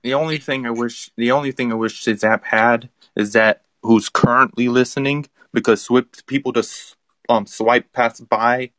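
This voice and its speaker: A man's voice: medium pitch, steady tone, moderate pace, fairly bright tone, dry recording. A man in his twenties hosting a casual chat on a live audio app, explaining things in an easygoing, rambling way. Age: 20s. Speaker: host.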